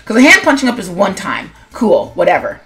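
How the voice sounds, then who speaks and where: A woman's voice: mid-range voice, huge pitch swings, fairly quick, fairly bright tone, faint music behind, rough recording. A woman talking casually as an animator and video host, in a rambling, self-deprecating, conversational way. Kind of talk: casual commentary vlog on own animation.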